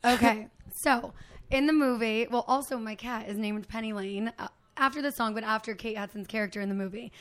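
A young woman with a slightly high voice and a somewhat hoarse, raspy tone. She talks in a loose, rambling way with plenty of filler words, as if she has been drinking a bit. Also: brisk. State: a little tipsy. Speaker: podcast host. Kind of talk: casual chat.

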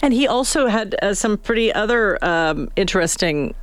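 A woman's voice: mid-range voice, expressive, normal speed, clean tone, no echo. A woman hosting a morning radio show in a casual, conversational style. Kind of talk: casual interview and chat. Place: radio studio. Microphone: studio microphone.

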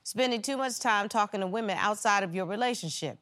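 A woman judge on a courtroom show, firm and direct, pressing the parties with pointed questions. She speaks at a middling pitch, in the measured tone of someone running the proceedings. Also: fairly bright tone. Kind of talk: courtroom arbitration.

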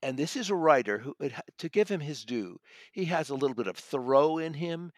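A man's voice: fairly high pitch, lively intonation, a little fast, no echo. Enthusiastic male book critic, dry sound. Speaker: audiobook critic and podcast guest. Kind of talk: conversational book review.

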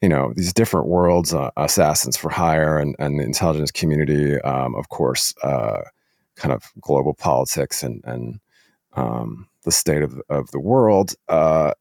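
A man with a low voice hosts a casual interview. He feels his way through long, meandering questions with halting phrasing. The sound is close and dry. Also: gravelly, a little mellow, no room sound. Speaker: podcast host, interviewer.

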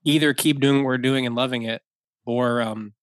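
A man's voice: mid-range voice, steady tone, moderate pace, no room sound. A man in his thirties, a wedding photographer, chatting as a podcast guest, rambling with many fillers and restarts and laughing easily. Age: 30s. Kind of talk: casual podcast conversation.

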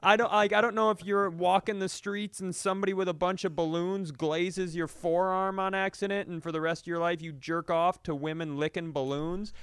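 Male comedian, higher pitch, smooth clear tone, animated, swearing, casual storytelling, dry close recording. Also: moderate pace, few pauses, no echo. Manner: animated, profane, acts out quotes. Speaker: comedian podcast host.

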